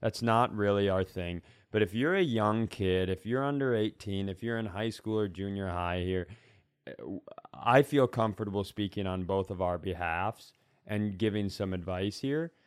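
A man's voice: fairly low pitch, normal speed, smooth, dry recording, clean studio sound. A man co-hosting a comedy podcast, joking in casual chat with mock-earnest riffs.

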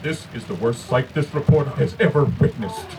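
An actor playing a horrified, melodramatic field reporter, halting as he reports from the scene in a radio drama. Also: mid-range voice, a little mellow, lightly acted. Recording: distant field broadcast, crowd clamour behind.

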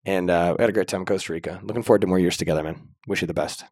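A man hosting a podcast, upbeat and slangy, with a slightly raspy voice. He chats casually and closes the show with shout-outs. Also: low voice, racing. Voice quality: a little raspy. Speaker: podcast host. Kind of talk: casual podcast chat and outro.

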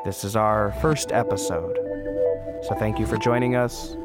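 Male show host over loud music. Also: fairly low pitch, fairly even intonation, a little slow. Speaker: podcast host. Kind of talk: scripted show introduction.

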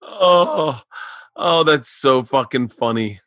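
A man's voice: medium pitch, slow, bright, forceful tone, no room sound, clean studio sound. A man in his forties hosting a podcast, talking in a loose, rambling way and swearing freely. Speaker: podcast host. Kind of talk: casual podcast chat.

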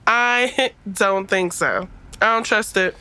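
A woman's voice: mid-range voice, takes it slowly, bright, forceful tone. An actress playing a sassy, outspoken young woman in a naturalistic audio drama, with slangy, emphatic delivery. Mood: sardonic, teasing.

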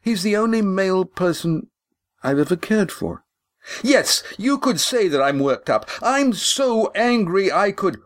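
A man voicing a fiery, sharp-tongued young woman with shrill, indignant outbursts. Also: lively intonation, unhurried, no echo. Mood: furious.

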